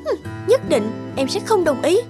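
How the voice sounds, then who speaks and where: A vain, emotional young actress in a cartoon, voiced by a woman. She speaks in a high, dramatic voice. Loud music plays throughout. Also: big pitch swings, a little slow, exaggerated voice. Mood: scheming. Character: vain young actress, selfish then remorseful.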